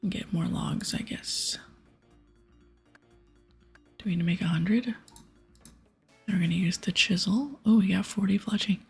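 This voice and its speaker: A woman whispers her way through a video game for ASMR, close to the mic. Her voice is breathy and crackles with fry, and she takes her time over narration and dialogue, with soft music behind her. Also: medium pitch, unhurried, long pauses. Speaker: ASMR gaming host.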